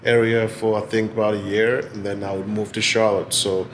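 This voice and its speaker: A man in his forties with a Zimbabwean accent, giving measured, articulate answers in an interview. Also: lowish voice, flat delivery, slight background noise. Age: 40s. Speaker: interviewee, business executive and gallery owner. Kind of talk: oral history interview answers.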